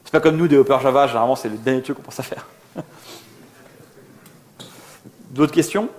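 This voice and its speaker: A man's voice: medium pitch, a little fast, darkish tone. A man speaking casually and with self-deprecating slang as a conference speaker answering audience questions. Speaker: conference speaker, software developer. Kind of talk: informal conference Q&A.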